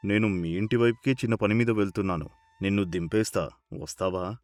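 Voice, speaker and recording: A man's voice: lowish voice, a little fast, a little mellow, dry recording, faint music behind, close to an everyday voice. A man playing a kindly, deferential and easily flustered mill boss in a dramatized story. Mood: trusting, businesslike.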